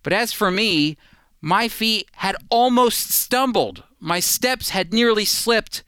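A man's voice: high voice, slow, pushed and bright, clean tone, dry recording, studio quality. Earnest man teaching on radio. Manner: earnest, emphatic teaching with fillers and restarts. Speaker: radio Bible teacher, co-host.

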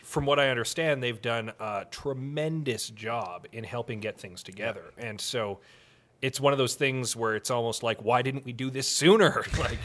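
A male podcast co-host speaks conversationally. His voice is of ordinary pitch, and he talks in an informal, unscripted way. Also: normal speed, fairly bright tone. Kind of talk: casual conversation.

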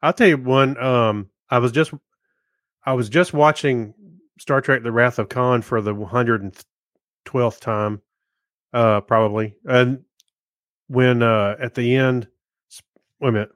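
A male podcast co-host speaks in a middle pitch. His delivery is casual and conversational, like loose storytelling among friends. The recording is dry, with hardly any room sound. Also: steady tone, a little slow, pauses often, a bit bright, clear, resonant tone, dry recording. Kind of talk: casual chat.

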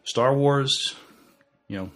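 A man co-hosting a podcast in casual, unscripted fan chat, haltingly restarting and qualifying what he says. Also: mid-range voice, a bit bright, faint music behind. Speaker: podcast co-host.

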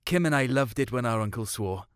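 A man's voice: medium pitch, animated, a little fast, clean tone, dry recording, studio quality. A man reading a short story as a scripted first-person narration.

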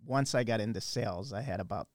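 A man's voice: lowish voice, quick pace, no room sound, clean studio sound. A man in his sixties from western Pennsylvania, a podcast guest telling his story in a rambling way with many fillers and restarts. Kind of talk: casual storytelling.

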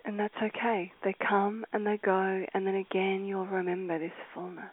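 A woman leading a guided meditation, speaking slowly and softly and now and then trailing into a whisper. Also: medium pitch, level tone, no room sound. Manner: slow, soft and soothing, drifting into whispers. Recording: dry, tinny phone-like sound.

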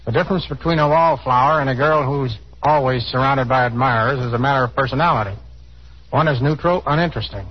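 Vintage radio guest guy, slightly high voice, formal scripted explaining, muffled 1930s recording. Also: husky. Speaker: photographer, interview guest. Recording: muffled 1930s radio broadcast, faint noise.

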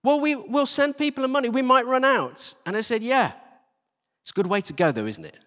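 High-pitched British man preaching, reverberant hall. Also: animated, brisk, pushed and bright. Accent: British English. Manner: conversational, self-deprecating, with rhetorical questions and repetition. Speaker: church team leader preaching. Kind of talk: informal sermon to a congregation. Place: large reverberant indoor church space. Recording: live church hall, long reverberation.

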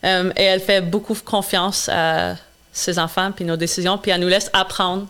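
A woman's voice: on the low side, fairly even intonation, normal speed, fairly bright tone, clean tone. A woman in her twenties whose first language is English, answering interview questions earnestly with frequent hesitations. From Quebec. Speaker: guest, charity founder.

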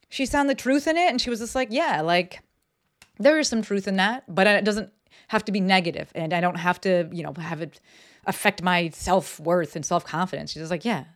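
A woman talks into a desk microphone for her own podcast. She is blunt and unfiltered, swearing freely. Her delivery is loose and conversational, full of "like" and "right?". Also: fairly low pitch. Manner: blunt and profane, lots of fillers. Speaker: podcast host. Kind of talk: casual solo podcast talk.

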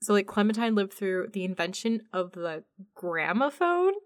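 A woman's voice: medium pitch, very animated, normal speed, dry recording, clean studio sound. A woman co-hosting a podcast, chatting and telling stories in an informal, mildly sweary way. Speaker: podcast co-host. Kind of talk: casual chatty podcast conversation.

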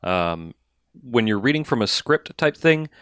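A man's voice: mid-range voice, big pitch swings, measured pace, fairly bright tone, clear, resonant tone, no room sound, clean studio sound. A man co-hosting a casual podcast, joking around and giving emphatic advice that repeats phrases for effect. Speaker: podcast co-host.

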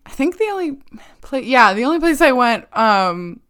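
A woman's voice: sits high, big pitch swings, fairly bright tone, clean tone. A young woman co-hosting a podcast in casual chat, talking with lots of "um" and "like". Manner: unhurried, many fillers like "um" and "like". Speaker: podcast co-host. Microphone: video call.

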